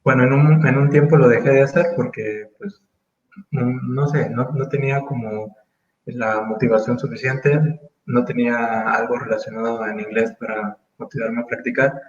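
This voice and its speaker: A man in his thirties from Mexico, speaking as an interview guest in casual conversation, thoughtful and full of pauses and restarts. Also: mid-range voice, fairly even intonation, darkish tone, reverberant, dull audio. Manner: thoughtful, hesitant, many fillers and restarts.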